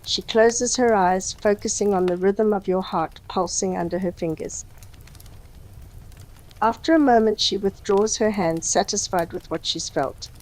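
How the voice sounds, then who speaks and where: A woman's voice: mid-range voice, unhurried, darkish tone, low-quality recording. A woman reading a scripted story as its narrator.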